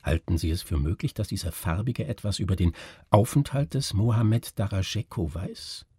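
An inquisitive gentleman, voiced by a male reader in a literary tale. He is formal and probing, with a husky voice and animated, rising and falling delivery. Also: lowish voice, moderate pace, dry recording, studio quality. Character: inquisitive formal gentleman questioning his companion.

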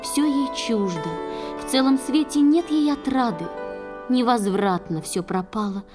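A woman performing in a children's audio play, reciting verse lyrically and expressively with drawn-out phrases. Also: on the high side, animated, music in the background.

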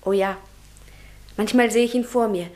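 An actress playing a passionate, defiant young student in a radio play, fervent, emphatic and teasing. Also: female voice, medium pitch, steady tone, moderate pace, a little mellow, lightly acted. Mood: rapturous. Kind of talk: radio drama acting.

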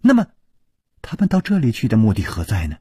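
A man's voice: medium pitch, wildly swooping pitch, fairly quick, warm tone, husky, dry recording, studio quality, exaggerated voice. A man voicing an earnest, anxious young woman disciple in an audiobook. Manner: earnest, worried. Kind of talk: audiobook storytelling.

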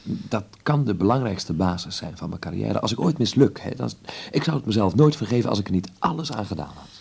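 A restless, ambitious young son in a radio drama. His voice is deep and dark, with a creaky, husky grain. He talks in a casual, reassuring, slightly evasive way. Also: lively intonation, brisk, full character voice. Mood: determined. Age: young adult. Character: restless, ambitious twenty-one-year-old son, fond of his mother. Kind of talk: naturalistic Dutch radio play acting.

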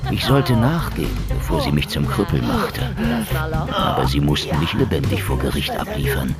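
Loud music runs behind a world-weary noir hero. He is laconic and bone-dry, yet his pitch moves freely as he tells his radio-drama tale with sardonic wit. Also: expressive, moderate pace. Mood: wry narrating. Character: hard-boiled, sardonic Russian antihero Marlov, male, world-weary. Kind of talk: noir radio drama with first-person narration.

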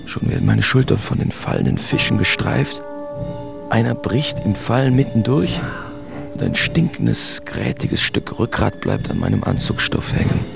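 A haunted, wry artist telling his absurd dream for a radio drama. His voice sits on the low side with a clearly creaky grain. The storytelling is expressive and theatrical, with music running behind it. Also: moderate pace. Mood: disgusted wonder. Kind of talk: radio drama first-person narration.

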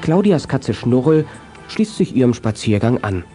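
A man's voice: medium pitch, sing-song, unhurried, mellow, faint music behind. A man narrating a children's radio play in a scripted storytelling style.

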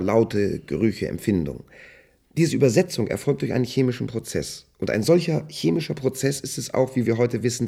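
An actor playing an earnest, uneasy scientist in a radio play, explaining formally and haltingly with a gravelly, husky voice. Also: male voice, medium pitch, warm tone. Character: earnest, uneasy male scientist-inventor doctor.

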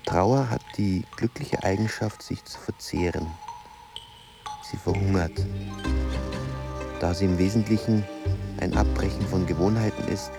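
An actor playing a brooding, solitary man in a literary radio drama, speaking in a halting, reflective way. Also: low-pitched. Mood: musing, sombre. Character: brooding solitary man recalling grief and lost love.

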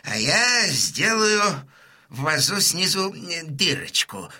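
Shrill, nervous, wily go-between. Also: male voice, big pitch swings, pushed and bright, exaggerated voice. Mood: anxious, scheming. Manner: wailing exclamations, drawn-out words, fussy hesitations. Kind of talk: full-cast audiobook dramatisation.

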